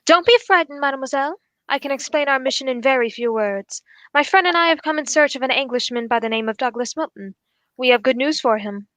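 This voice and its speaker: A woman playing a calm, courteous male detective in a formal, measured radio drama style. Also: sits high, no echo. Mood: composed, courteous. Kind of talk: 1940s radio drama acting.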